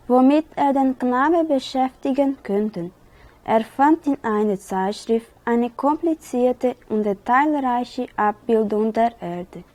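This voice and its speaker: A woman's voice: fairly high pitch, warm tone, no room sound. A woman with a southern Brazilian accent who reads a short story aloud and then retells it in her own words. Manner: slow careful reading, quicker loose retelling. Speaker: interviewee.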